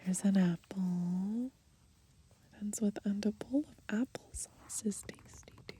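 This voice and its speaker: A young woman softly whispering in a gentle ASMR style as she browses and reads from a book outdoors. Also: medium pitch, unhurried. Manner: soft, gentle, breathy whispering. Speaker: ASMR creator. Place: outdoors in the grass.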